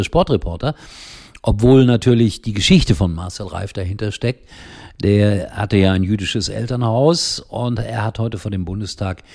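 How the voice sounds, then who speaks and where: Man, older, low voice, husky, slightly hoarse from a cold, chatty rambling football talk. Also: a little mellow. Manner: rambling, chatty, with frequent fillers. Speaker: football commentator and show host. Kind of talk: casual daily football talk to camera.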